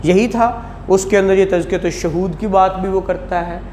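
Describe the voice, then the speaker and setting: High-pitched Pakistani man lecturing, echoey room. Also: fairly even intonation, darkish tone. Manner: didactic, repeats key phrases slowly. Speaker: law lecturer. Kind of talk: classroom lecture with rhetorical questions. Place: indoor classroom. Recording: echoey classroom with faint noise.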